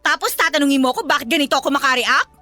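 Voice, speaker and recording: Furious, high-pitched jealous young girlfriend. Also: racing, bright, forceful tone, faint music behind. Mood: furious, scornful. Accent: Filipino. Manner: confrontational, scolding, emphatic rhetorical questions. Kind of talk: Filipino radio drama acting.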